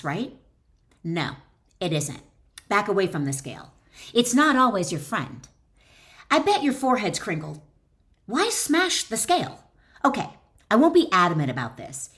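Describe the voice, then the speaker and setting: A woman's voice: medium pitch, fairly bright tone. Woman author teaching and reading, emphatic. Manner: emphatic, deliberate phrasing with short pauses; direct address to the listener with check-in questions. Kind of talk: instructional talk mixed with expressive reading aloud from own book.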